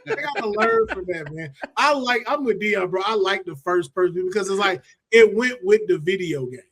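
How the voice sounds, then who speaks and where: An American man hosting a casual podcast, talking in an animated, slangy and profane way. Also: high voice, moderate pace. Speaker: podcast host. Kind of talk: casual podcast chat about movies and games.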